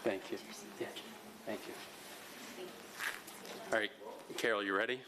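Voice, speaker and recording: A man's voice: lowish voice, steady tone, measured pace, over a noisy crowd. A man speaking as host, making informal announcements to a community gathering and introducing speakers.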